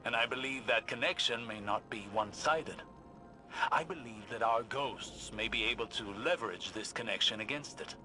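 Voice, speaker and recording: An actor playing a learned, cautious male scholar in a science fiction game, speaking formally and deliberately with precise phrasing. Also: medium pitch, bright, forceful tone, soft background music. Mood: intent, solemn. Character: grave, learned male scholar in a sci-fi world, measured and cautious. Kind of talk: dramatic video game dialogue.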